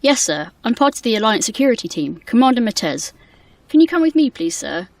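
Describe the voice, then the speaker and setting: A woman playing a polite, dutiful security commander in an audio drama, brisk and deferential. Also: a little high, very animated, darkish tone, far from the mic. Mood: brisk, official.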